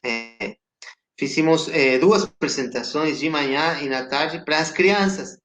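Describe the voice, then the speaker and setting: A Chilean man, a native Spanish speaker with a Chilean Spanish accent, talking reflectively as a guest actor on a video call, halting and restarting often. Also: a little high, a bit bright, faint music behind. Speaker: guest actor and theater director. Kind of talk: casual interview chat. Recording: roomy echo.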